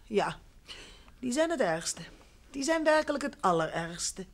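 A woman's voice: on the high side, very animated, measured pace. An actress playing a refined, evasive and easily flustered woman in a Dutch radio drama, speaking politely and hesitantly. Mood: indignant, baffled.

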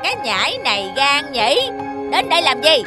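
Drawling bully cartoon rat. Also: male voice, extremely high pitch, huge pitch swings, normal speed, over music, full character voice. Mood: gloating menace. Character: bullying grey rat villain, gloating and menacing. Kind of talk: cartoon villain acting.

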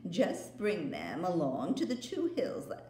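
A woman's voice: lowish voice, wildly swooping pitch. Woman reading a scheming storybook queen: coaxing, honeyed, roomy sound. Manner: coaxing, drawn-out, with soft laughs. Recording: roomy echo.

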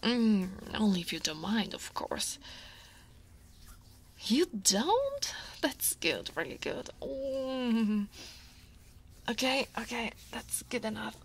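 A woman from Italy voicing a guarded but teasing female dragon office senior in a soft, breathy ASMR roleplay. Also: a little high, sing-song, takes it slowly, pauses often, slight background noise, exaggerated voice. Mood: relaxed, pleased. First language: Italian. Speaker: voice actor.

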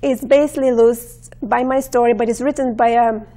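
A woman in her forties from Bulgaria with a Bulgarian accent, a guest in a studio interview, talking warmly and enthusiastically with hesitant restarts. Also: on the high side, steady tone, fairly quick. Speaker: guest actress. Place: TV studio with blue curtain.

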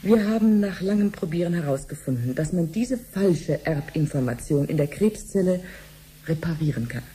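Patient scientist, radio play, low mellow voice, didactic, faint noise. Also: female voice, lively intonation, normal speed. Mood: patient, explaining. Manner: didactic, clearly phrased explaining. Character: female cancer researcher, patient, friendly, eager to explain. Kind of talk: 1970s German radio drama acting.

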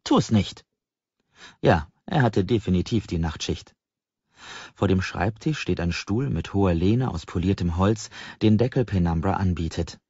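A man's voice: low-pitched, moderate pace, a little mellow, slightly rough. A man narrating a novel as an audiobook in a scripted storytelling style. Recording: slightly dull, roomy sound.

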